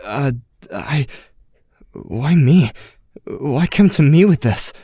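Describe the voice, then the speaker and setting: A man's voice: a little high, lively intonation, slow, mellow, slightly rough, no echo, studio quality, heavily acted. A man playing an anxious, skeptical teenage boy with psychic powers in an audio drama, with a hesitant, wry delivery. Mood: hesitant, confiding. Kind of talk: audio drama dialogue and first-person narration.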